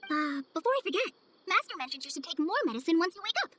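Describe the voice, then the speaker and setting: A woman's voice: very high voice, animated, fast, noisy background. A woman voicing an earnest, modest young girl, a trainee forest ranger in a video game, polite and hesitant. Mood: modest, caring. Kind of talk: video game cutscene acting. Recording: music behind the voice.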